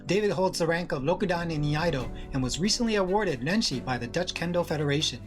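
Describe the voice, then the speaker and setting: Podcast host with soft music behind. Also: medium pitch, expressive, fast talker. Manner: scripted introduction, then easygoing questions. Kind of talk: podcast interview.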